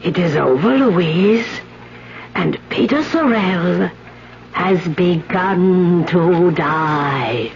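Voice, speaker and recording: A woman's voice: on the low side, expressive, drawn-out words, heavily acted. An actress in a 1970s radio drama playing an ominous woman solemnly pronouncing doom. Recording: dull old radio recording with music.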